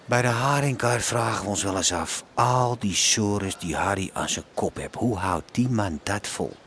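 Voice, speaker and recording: A man's voice: fairly low pitch, moderate pace, fairly bright tone, slight background noise, close to an everyday voice. An actor playing a wry, gossipy male street vendor, commenting in a radio drama.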